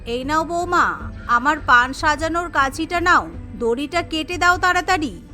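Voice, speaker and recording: A woman voicing a sharp-tongued, fussy elderly mother-in-law in a village cartoon, nagging and giving orders. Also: sits high, animated, normal speed, faint music behind. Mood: urgent. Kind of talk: cartoon character dialogue.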